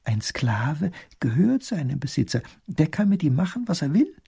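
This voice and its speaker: A man's voice: fairly high pitch, sing-song, moderate pace, creaky, dark tone, breathy edge, no room sound, studio quality, pitched above the performer's own voice, heavily acted. A man voicing a clever court professor and minister who explains things patiently. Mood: explaining. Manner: explaining carefully, with filler hesitations.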